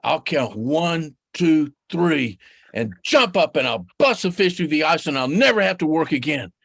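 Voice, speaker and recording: A storyteller voicing a chatty, hungry, gullible male rabbit in a spoken folktale, in a high pleading voice that rambles on. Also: expressive, normal speed, vocal fry, fairly bright tone, no room sound, clean studio sound. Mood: excited. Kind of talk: oral folktale telling.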